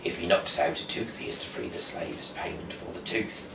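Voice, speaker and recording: A man from the UK who speaks haltingly and stumbles over words as an amateur host and reader. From United Kingdom. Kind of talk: casual rambling talk, then reading scripture aloud. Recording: echoey room, distant mic, clear background noise.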